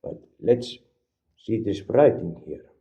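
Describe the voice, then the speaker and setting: Deep slow finnish guy, rasp in the voice, deadpan rambling review. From Finland. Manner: rambling deadpan, mixing languages. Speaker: vlogger reviewing an energy drink. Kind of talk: absurd comedic product review.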